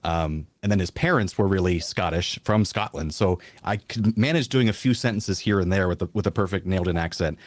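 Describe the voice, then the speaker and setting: Quick-talking deep-voiced man. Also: no room sound. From American South. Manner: quick, laughing, chatty. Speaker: voice actor guest. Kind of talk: casual interview chat.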